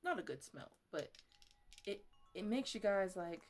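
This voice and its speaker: A woman playing a chatty, easily exasperated botanist who mothers her plants in an improvised ASMR roleplay, talking in a halting, casual, playfully scolding ramble. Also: mid-range voice, expressive, a little mellow, faint music behind, natural voice. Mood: musing, halting.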